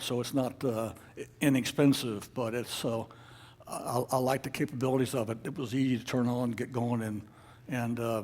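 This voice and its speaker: A man leading a club meeting, giving informal announcements in a halting, unscripted way. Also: medium pitch, a little mellow. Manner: halting, hesitant, unscripted delivery. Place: club meeting room with an audience.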